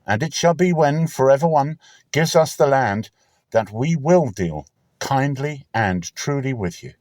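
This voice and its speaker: A man's voice: mid-range voice, expressive, measured pace, a little mellow, dry recording, studio quality, voice pushed up, lightly acted. A man voicing stern ancient spies who speak firmly and formally in a dramatic scripture reading. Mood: stern, solemn. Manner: firm, solemn, formal. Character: two stern ancient Israelite spies swearing an oath.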